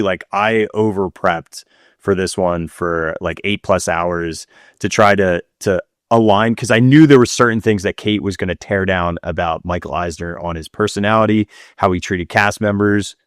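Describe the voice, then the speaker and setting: A man with a fairly low voice chats as a podcast co-host. His phrasing is loose, informal and a bit slangy, and the sound is dry and close. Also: no room sound. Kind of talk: casual chat.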